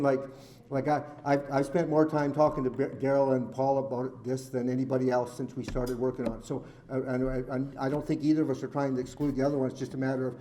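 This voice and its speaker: A man's voice: medium pitch, mellow. A man chairing a community meeting, talking earnestly and at length. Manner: earnest, talkative, rambling.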